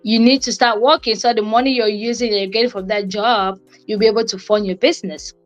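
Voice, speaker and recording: A young woman speaks at a middling pitch with music behind her. She talks informally and motivationally, as on a livestream, often repeating herself to drive a point home. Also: fairly even intonation, fairly quick. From Cameroon. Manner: conversational, repeats phrases for emphasis. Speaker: co-host and guest speaker on a livestream.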